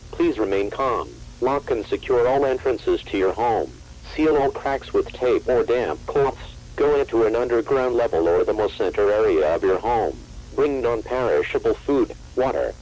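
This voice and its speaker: A man voicing an impersonal emergency alert announcer, reading formal instructions in a flat, official manner. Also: fairly low pitch, poor audio.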